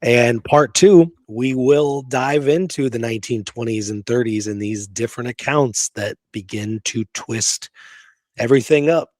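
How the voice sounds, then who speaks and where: A man's voice: mid-range voice, unhurried, a little mellow, no room sound. A man hosting a history discussion, talking informally with emphatic opinions. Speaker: host of a history show.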